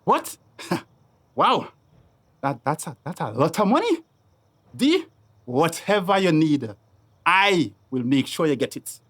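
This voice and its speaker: A man's voice: fairly high pitch, very animated, takes it slowly, long pauses, fairly bright tone, heavily acted. An actor playing a bitter, grasping, middle-aged man in a radio drama, with a Tobagonian accent and a taunting, blustering manner. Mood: gleeful. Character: Cuthbert, bitter, grasping, hot-headed man claiming paternity.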